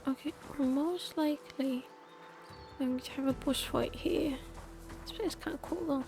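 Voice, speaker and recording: A woman's voice: high-pitched, normal speed, gravelly, darkish tone, soft background music. A woman live streamer giving casual gameplay commentary in sarcastic, slangy asides.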